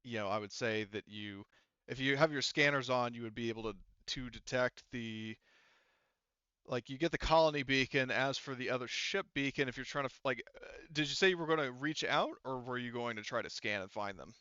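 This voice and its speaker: Bright-voiced man running a game, thinking aloud. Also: medium pitch, fairly quick, smooth, no echo, lo-fi sound. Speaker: game master. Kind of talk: improvised tabletop game narration, casual.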